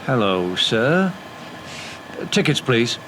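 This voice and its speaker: Podcast drama bit part: everyday ticket inspector, matter-of-fact, mid-pitched, lively delivery, train noise behind. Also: male voice, slow pace. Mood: businesslike. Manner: casual, everyday, official politeness. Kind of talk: horror audio drama acting.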